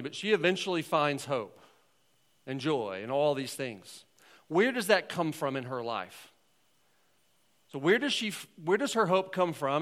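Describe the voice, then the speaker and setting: A man's voice: on the high side, bright, forceful tone. A man, a pastor, casually setting up a video for his congregation with questions. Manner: conversational, asking the room questions. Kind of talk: sermon introducing a video.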